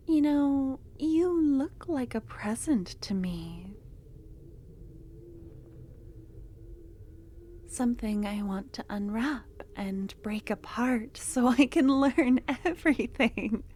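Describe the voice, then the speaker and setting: A young woman voicing an obsessive, possessive female cultist in an ASMR roleplay. She talks in a soft, close murmur that drops into whispers, and her tone is doting and unsettling. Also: mid-range voice, a little hiss or hum.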